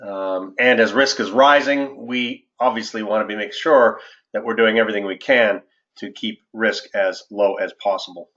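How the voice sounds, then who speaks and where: A man, an investment adviser hosting a webinar and presenting market commentary in a formal, measured way. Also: on the low side, sharp tone. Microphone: conference call line. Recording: dull, lo-fi conference-call audio.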